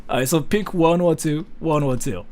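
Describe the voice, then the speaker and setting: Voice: medium pitch, normal speed, a little mellow. A native Japanese speaker with a Japanese accent, chatting casually with livestream viewers as the host in a self-deprecating, apologetic way.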